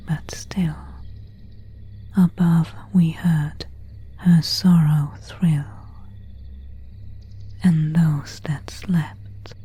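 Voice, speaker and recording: A woman voicing an elegant, melancholy vampire in an ASMR roleplay, in a breathy whisper with formal, old-fashioned phrasing. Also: low voice, warm tone, full character voice. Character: pale, elegant, melancholy female vampire of an ancient castle.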